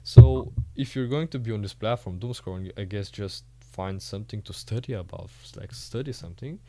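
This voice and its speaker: A man's voice: lowish voice, brisk, mellow. A Bulgarian man speaking English with a Bulgarian accent as a podcast host, talking in a casual, hesitant way full of "uh" fillers.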